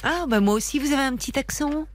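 A woman hosting a late-night radio call-in show, speaking gently and soothingly as she listens and sums up callers' stories. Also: high-pitched, quick pace. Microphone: studio microphone.

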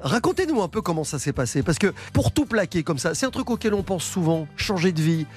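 A radio host keeps the conversation moving with lively, chatty questions. His voice sits a little high for a man. Light music runs faintly underneath. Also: brisk. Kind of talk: radio interview.

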